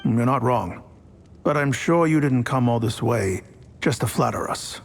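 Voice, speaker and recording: Slow, haughty desert chieftain. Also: male voice, mid-range voice, lively intonation, creaky, a little hiss or hum. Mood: haughty, suspicious. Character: proud, menacing male desert tribe leader. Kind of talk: video game voice acting.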